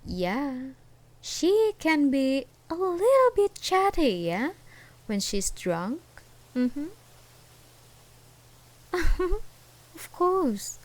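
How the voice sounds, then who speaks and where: A woman playing an obsessed, unhinged ex-girlfriend in an ASMR roleplay, taunting and possessive in a sweetly menacing, giggly way with drawn-out words. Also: medium pitch, creaky, a little mellow, heavily acted. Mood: giggly, mocking. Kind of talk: ASMR roleplay acting, one side of a conversation.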